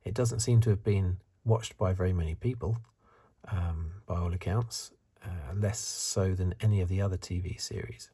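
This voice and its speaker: Male ASMR reviewer: low, mellow, very husky and breathy, soft-spoken to half-whispered, halting musing delivery, close-miked. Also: fairly even intonation. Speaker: host of an ASMR review channel.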